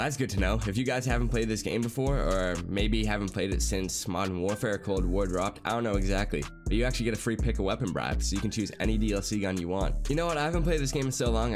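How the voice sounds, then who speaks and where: A young man with a congested, nasal voice from a cold, chatting casually as he walks through a video game, with a steady stream of fillers. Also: on the low side. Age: young adult. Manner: fast, chatty, with lots of "like" fillers. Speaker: gaming YouTuber. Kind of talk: casual gameplay commentary. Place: small bedroom.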